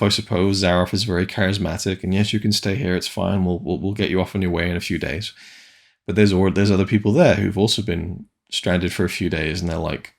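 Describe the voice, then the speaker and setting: A man's voice: deep voice, gravelly. A man from Wales giving a casual, rambling film review, full of hesitant restarts.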